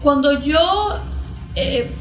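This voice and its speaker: Higher-pitched Venezuelan woman, echoey room. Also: animated, very slow, soft background music. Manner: didactic, poses questions and answers them. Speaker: guest expert, cognitive therapist. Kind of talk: conversational interview explanation.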